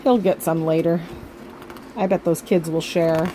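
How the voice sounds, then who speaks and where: A woman reading a children's picture book aloud in the expressive manner of a grandmother reading to kids. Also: fairly low pitch, measured pace, a little hiss or hum, low-quality recording. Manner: expressive, acting out the dialogue.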